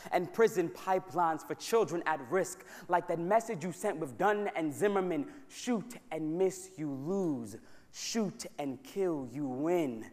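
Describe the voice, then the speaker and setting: An American man performing spoken word poetry on a stage microphone at a live church concert, with a rhythmic, rhyming delivery and emphatic slow repetitions. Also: high-pitched. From United States. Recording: long hall reverb from a large church.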